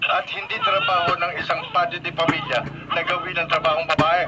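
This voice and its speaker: An actor with a Filipino accent playing a lazy, proud, hot-tempered husband in a radio drama, whiny, blustering and indignant. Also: male voice, fairly high pitch, little pitch movement, a little fast, close to an everyday voice. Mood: indignant, threatening. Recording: very noisy radio drama broadcast.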